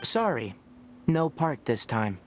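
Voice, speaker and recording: A man voicing a patient, knowledgeable young ranger in a video game, calm and explanatory with a mildly teasing edge. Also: medium pitch, slow, very noisy. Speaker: forest ranger and guide. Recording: game audio with faint music behind. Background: faint game music.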